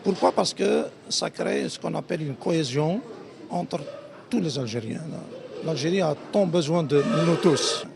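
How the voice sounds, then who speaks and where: A man's voice: fairly high pitch, a little slow, creaky. A man giving a spontaneous interview as a participant at a community event. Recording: crowd noise behind the voice.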